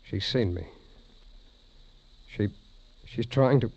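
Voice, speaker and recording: An actor playing a dazed, lovestruck doctor's associate in an old radio drama, halting and dreamy. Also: male voice, on the low side, creaky, a little mellow, husky, exaggerated voice. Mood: shaken. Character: dazed, lovestruck younger male surgical associate, mournful. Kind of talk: 1940s-50s radio drama acting. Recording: dull old radio broadcast recording.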